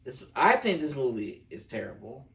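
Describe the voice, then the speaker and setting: A man's voice: mid-range voice, very animated, moderate pace, gravelly, fairly bright tone. A man giving a blunt, emphatic film review in casual chat as a co-host. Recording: roomy echo, distant microphone.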